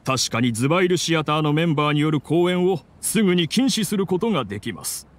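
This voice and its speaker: A man's voice: on the high side, animated, fast, close to an everyday voice. An actor playing an impartial scholar judging a debate in an anime game, formal, precise and bureaucratic in his phrasing. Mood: surprised, formal. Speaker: debate judge.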